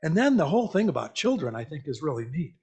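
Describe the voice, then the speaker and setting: A man's voice: fairly high pitch, very animated, fast talker, darkish tone, slightly rough. A man preaching an interactive church message in a conversational, reflective way, putting questions to the congregation. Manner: conversational, reflective, repeats listeners' answers back. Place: church meeting, speaking to a congregation.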